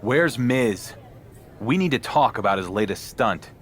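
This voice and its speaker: A man's voice: lowish voice, expressive, a little slow, slight background noise. A man playing a wary, frustrated champion wrestler in a game cutscene, speaking in a plain, skeptical way. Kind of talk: acted video game cutscene dialogue.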